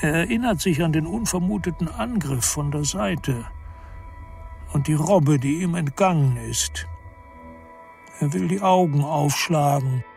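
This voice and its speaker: Guy narrating a radio drama, slightly higher voice, bit of music under it. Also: unhurried, gravelly, darkish tone.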